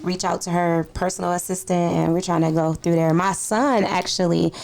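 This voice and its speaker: A woman from Detroit with a slightly raspy voice, chatting informally and in slang as a podcast guest. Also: on the low side, fairly even intonation, normal speed, a little mellow. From Detroit, United States. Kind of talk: casual interview chat.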